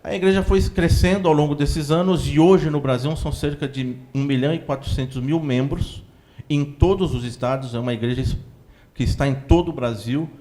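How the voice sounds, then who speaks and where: Brazilian male guest, mid-pitched voice, calm and explanatory, long flowing answers. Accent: Brazilian Portuguese. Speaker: church spokesman guest.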